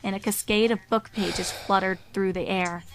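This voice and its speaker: A woman's voice: medium pitch, steady tone, moderate pace, slight background noise, low-quality recording. A woman reading scripted story narration as the narrator of a fan fiction audio story. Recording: music behind the voice.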